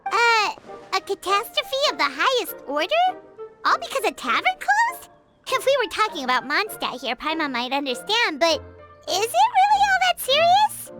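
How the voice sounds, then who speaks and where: A woman voicing a tiny, excitable childlike pixie companion in a video game, squeaky, naive and exclamatory. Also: normal speed, exaggerated voice. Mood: puzzled. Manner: exclamatory, questioning, cartoonish. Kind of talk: video game character dialogue. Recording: studio voice over prominent music.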